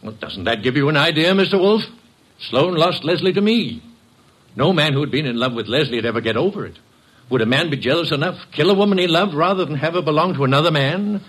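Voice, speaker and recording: An actor playing a distraught, suspicious widowed husband in a classic radio drama, insistent and agitated. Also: male voice, on the high side, lively intonation, fairly quick, fairly bright tone, husky, rough recording.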